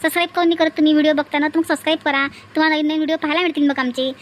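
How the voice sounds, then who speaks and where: High, fast-chattering bossy village aunt played by a woman. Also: flat delivery, lightly acted. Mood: urging. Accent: Vidarbha Marathi. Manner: chattering, nagging, repetitive. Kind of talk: exaggerated comic cartoon dialogue.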